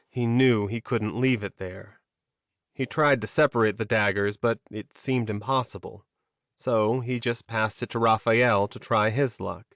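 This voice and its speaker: A man's voice: mid-range voice, no echo, muffled recording. A man reading scripted fantasy fiction as a dramatic audiobook narrator.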